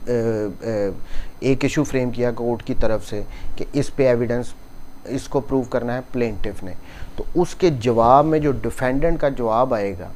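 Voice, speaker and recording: Slow-paced Pakistani man teaching law. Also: mid-range voice, a little mellow. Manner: mixes English legal terms and slowly read passages into explanation. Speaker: law lecturer. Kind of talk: explanatory law lecture, reading statute clauses and explaining them.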